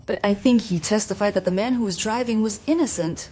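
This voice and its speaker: An actress playing a troubled, inquisitive woman in a crime radio play, with a husky voice. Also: medium pitch, a little fast, warm tone, slight background noise, close to an everyday voice. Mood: probing. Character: troubled, inquisitive woman quietly investigating an accident. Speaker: voice actor in a crime audio drama.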